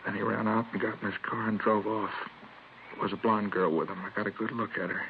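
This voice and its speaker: An American man playing a shaken, wounded schoolteacher in his thirties, speaking haltingly and earnestly in an old radio drama. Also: on the low side, fairly even intonation, creaky. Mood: distressed. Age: 30s. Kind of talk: 1950s radio drama acting. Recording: tinny, noisy vintage radio recording.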